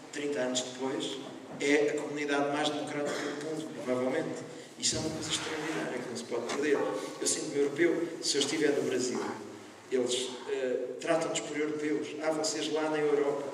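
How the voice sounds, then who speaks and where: A man from Lisbon on a panel, talking earnestly to students and repeating phrases for emphasis. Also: mid-range voice. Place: school hall. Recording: echoey hall from far off, noisy.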